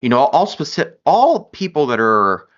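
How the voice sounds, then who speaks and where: A man's voice: mid-range voice, wildly swooping pitch, normal speed, lo-fi sound. A man co-hosting a news show, earnest and repeating words as he builds a point. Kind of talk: casual news commentary.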